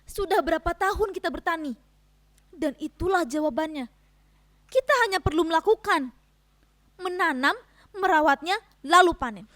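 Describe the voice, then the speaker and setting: Actress as an earnest, high-voiced farming wife. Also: female voice, normal speed, long pauses, a bit bright. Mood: earnest, persuasive. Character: earnest, frustrated farming wife pleading with husband. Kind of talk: stage dramatic reading.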